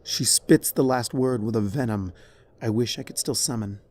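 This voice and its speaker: A man reading dramatic fiction as narrator in a somber, measured way. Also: mid-range voice, darkish tone. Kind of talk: dramatic scripted story narration.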